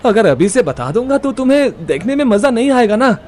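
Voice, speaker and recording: A man's voice: extremely high pitch, sing-song, fairly quick, darkish tone. An actor playing a cocky, bossy young male schemer in an audio drama, confident and mocking. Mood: confident, reassuring. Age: young adult. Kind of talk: Hindi audio comedy-horror drama acting.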